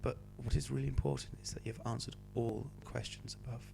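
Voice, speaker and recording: A male teacher with a cold, hoarse and croaky, patiently talking students through a homework sheet. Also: medium pitch, steady tone, fast, background noise. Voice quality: croaky, slips into whispery breaks. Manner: patient, explaining step by step. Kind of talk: homework help walkthrough.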